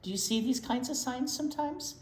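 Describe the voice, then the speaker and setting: A woman reading a picture book aloud to young children as a storytime teacher, expressive and often putting questions to them, in a roomy echoing space. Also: high voice, brisk. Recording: roomy, echoing indoor sound.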